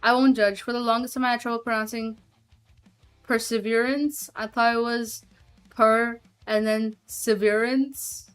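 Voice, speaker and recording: A woman's voice: on the high side, steady tone, a little slow, smooth, faint music behind. A woman streamer chatting casually with her viewers and reading chat aloud, giggling often and trailing off with fillers. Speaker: streamer and hobby voice actor.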